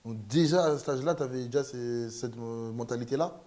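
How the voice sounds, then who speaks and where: Male interviewer, mid pitch, animated and encouraging. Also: fairly quick. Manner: lively, encouraging, rising emphasis, some stumbles. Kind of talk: casual interview questions.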